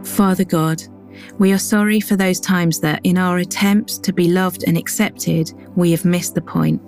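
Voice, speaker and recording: A woman giving a calm, measured scripted devotional reading that moves into a guided prayer. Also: lowish voice, soft background music. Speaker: devotional reader.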